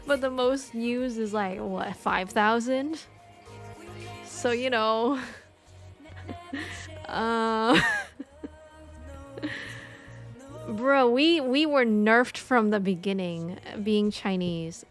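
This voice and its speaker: A woman's voice: fairly high pitch, extremely slow, pauses often, soft background music. A woman from the United States chatting casually on a stream, rambling with many fillers and restarts. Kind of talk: casual chat.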